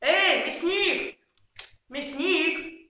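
A woman's voice: very high-pitched, drawn-out words, bright, forceful tone, heavily acted. A woman voicing a bossy, exasperated father who grumbles and calls out orders in an amateur puppet play. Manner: calling out commands, grumbling. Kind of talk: amateur puppet theatre acting. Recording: very echoey room.

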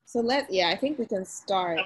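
A woman's voice: mid-range voice, moderate pace, breathy edge. Young Ghanaian woman on a video call. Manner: relaxed, chatty, many fillers. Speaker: host of an online meeting. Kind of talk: casual meeting talk.

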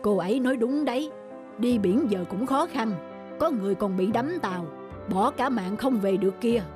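A woman's voice: on the high side, moderate pace, over music, natural voice. Worried, plain-spoken village fisherwoman acted by a woman. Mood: worried, cautioning. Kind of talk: cartoon folk-tale acting.

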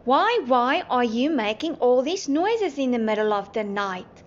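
A woman's voice: fairly high pitch, lively intonation, measured pace. A woman playing a concerned, questioning mother in a children's storybook. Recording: dull lo-fi, faint background noise.

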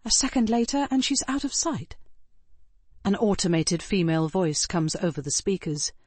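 A woman reading scripted first-person fiction as an audiobook narrator, in an expressive, dramatic way. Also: mid-range voice, moderate pace, husky, dry recording.

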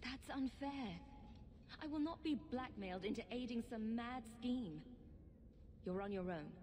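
A woman's voice: on the high side, expressive, slow, a little mellow, slight background noise. An actress playing a firm, principled adventurer woman in a video game, speaking directly and resolutely. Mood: indignant. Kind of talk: dramatic video game acting.

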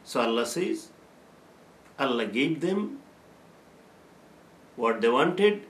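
Slow-speaking older man teaching, echoey room. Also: mid-range voice, sing-song, a little hiss or hum. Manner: explains verses slowly, chanting Arabic recitation between. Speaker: Islamic teacher. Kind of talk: religious lesson with Quran recitation. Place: plain room with a bare wall.

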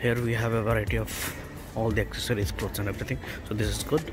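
A man giving casual, halting walking tour commentary as a travel vlogger, in simple phrases. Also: lowish voice, level tone, over loud music. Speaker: travel vlogger guiding a town walk. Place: outdoors on town centre streets.

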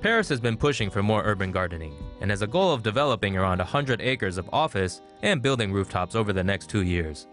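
A man's voice: lowish voice, expressive, quick pace, hardly any pauses, fairly bright tone, soft background music. A man reading a scripted news voice-over, closing with a dry joke. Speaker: news narrator.

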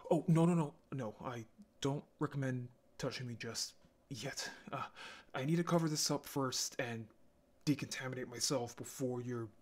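A man playing an awkward, gentle young male zombie in an intimate roleplay audio drama, stammering and hesitant. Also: mid-range voice, moderate pace, lightly acted. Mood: hesitant, shy.

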